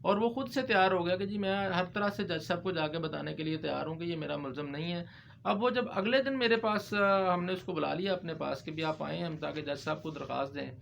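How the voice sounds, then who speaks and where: Male lawyer-presenter, Pakistani accent, fairly high voice, explanatory delivery with English legal terms, slightly noisy recording. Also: steady tone, brisk, creaky, a bit bright. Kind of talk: informal legal explanation to viewers.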